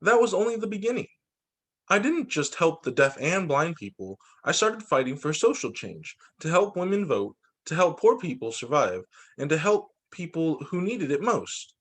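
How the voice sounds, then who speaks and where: A man reading a picture book aloud to children as a teacher, in an expressive, dramatizing way. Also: a little high, normal speed. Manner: expressive, dramatizing quoted lines.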